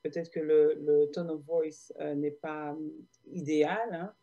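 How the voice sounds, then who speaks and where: Belgian woman, interview guest, low mellow voice, halting with fillers, video call. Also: fairly even intonation, muffled recording. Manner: hesitant, many fillers and restarts. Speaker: guest, digital marketer. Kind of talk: casual interview answers.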